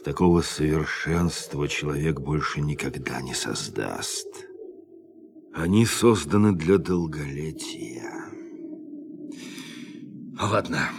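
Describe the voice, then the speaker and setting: An actor playing a grave, compassionate spaceship captain in a radio drama. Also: male voice, extremely low pitch, animated, takes it slowly, vocal fry, long pauses, heavily acted. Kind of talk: Soviet radio drama acting. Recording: ambient noise behind the voice.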